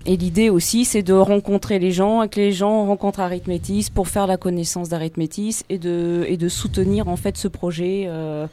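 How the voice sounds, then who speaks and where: Woman with a low, mellow voice. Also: fairly even intonation, moderate pace, hardly any pauses. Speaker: association member. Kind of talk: interview answer.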